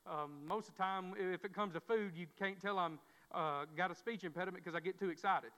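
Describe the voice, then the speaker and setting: A man's voice: high voice, brisk, sharp tone. A man preaching a sermon, with frequent repeated words and restarts from a stammer. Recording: dull sound, long church reverb.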